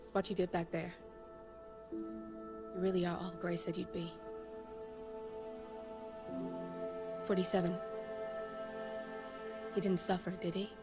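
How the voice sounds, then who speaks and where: A woman's voice: fairly low pitch, lightly acted. An actress playing a streetwise, defiant female hacker in a video game, with a dry, sardonic delivery. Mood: weary, subdued. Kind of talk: video game cutscene dialogue and mission briefing. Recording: loud music under the voice.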